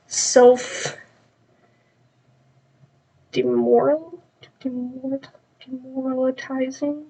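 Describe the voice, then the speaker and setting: A woman's voice: sits high, poor audio. A woman with a stuffed-up nose chatting casually and rambling on a live knitting stream, with many ums.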